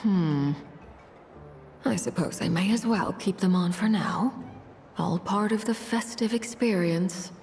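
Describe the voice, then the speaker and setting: A woman playing a composed, intimidating noblewoman in a video game, speaking in a measured, formal, unruffled way. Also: mid-range voice, a little mellow, close to an everyday voice. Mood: composed curiosity. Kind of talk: restrained video game voice acting. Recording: game audio with background music.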